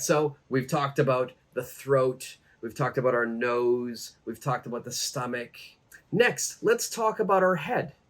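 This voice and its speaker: A man in his thirties teaching English to camera, seated in a plain room, saying each phrase slowly and then repeating it. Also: medium pitch, fairly bright tone. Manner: slow and deliberate, repeating each phrase twice. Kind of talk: slow, clear English lesson to camera. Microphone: camera framed at chest height, seated.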